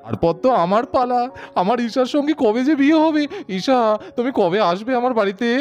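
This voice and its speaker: A performer voicing a sweet-talking young fiancé in a doll cartoon, teasing and affectionate. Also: male voice, extremely high pitch, expressive, brisk, darkish tone, highs cut off.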